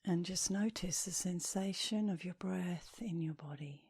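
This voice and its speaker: A woman leading a guided meditation as an instructor, speaking softly and soothingly, with gentle, breathy phrase endings. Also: lowish voice. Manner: soothing, gentle, unhurried with long pauses.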